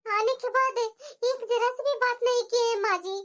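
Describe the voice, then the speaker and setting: A woman's voice: very high voice, fairly even intonation, a little slow. A woman playing a weak, anxious young mother. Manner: soft and anxious. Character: weak, worried young mother after childbirth.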